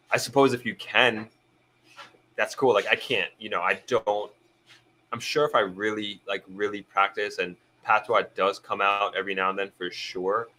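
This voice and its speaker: A man's voice: on the low side, bright, forceful tone, poor audio. A young man born in Jamaica, hosting a live stream and chatting casually about viewer comments, often restarting his sentences as he thinks aloud.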